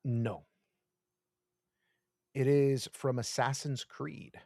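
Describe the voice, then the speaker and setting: A man's voice: mid-range voice, steady tone, drawn-out words, creaky, mellow, dry recording, clean studio sound. A man co-hosting a casual podcast, running a quiz and giving hesitant clues full of ums and uhs. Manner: playful, gives hesitant clues full of fillers. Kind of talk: casual chat.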